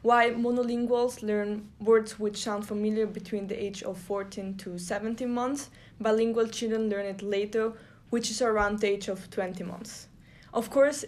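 A young woman from Italy reads her podcast notes in a soft, steady way. She grew up with Italian and German. Her voice is mid-pitched. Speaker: podcast co-host. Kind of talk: reading prepared notes.

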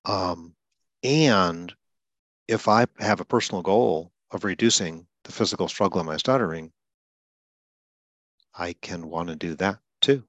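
An older man who stutters a little himself, calmly explaining ideas to a client in an interview. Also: low-pitched, no echo. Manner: explains slowly and deliberately, stutters lightly himself. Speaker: speech coach interviewing a client. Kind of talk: calm conversational interview.

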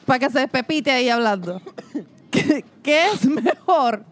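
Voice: high-pitched, lively intonation, unhurried, a bit bright. Playful Venezuelan co-host. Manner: playful, slangy, teasing. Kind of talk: casual question game chat.

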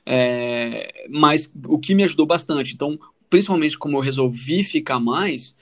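Higher-pitched Brazilian man chatting casually. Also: normal speed, a bit bright. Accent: Brazilian Portuguese. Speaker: podcast co-host telling own story. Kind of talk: casual conversational storytelling.

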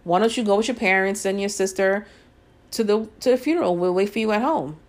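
A woman's voice: mid-range voice, fairly quick. A young woman of Guyanese and Puerto Rican family, hosting a podcast and telling a personal story in a casual, exasperated way full of fillers. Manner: conversational, frequent fillers, exasperated asides. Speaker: podcast host.

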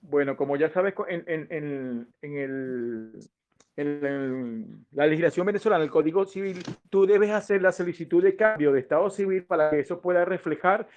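A man's voice: fairly high pitch, normal speed, a little mellow. A man speaking as a lawyer, giving an unscripted explanation in a formal, didactic manner.

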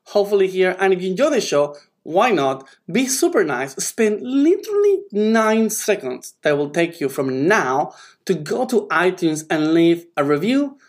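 A man's voice: high voice, big pitch swings, moderate pace. A man with a South American Spanish accent hosting a podcast, talking effusively and casually with loose phrasing. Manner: effusive, friendly, loose wording. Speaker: podcast host.

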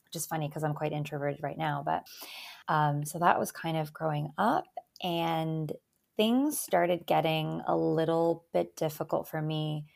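A young woman from Albania raised in Canada, a native Albanian speaker, telling her life story as an interview guest in a calm, reflective, soft-spoken way. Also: low voice, unhurried, gravelly. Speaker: guest, eating disorder advocate.

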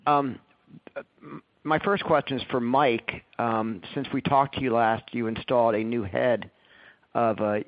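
A male analyst puts questions to company management. He speaks haltingly and corrects his own wording. The conference call audio is somewhat dull. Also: mid-range voice, fairly even intonation. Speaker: financial analyst asking questions. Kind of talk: hesitant question on an earnings call.

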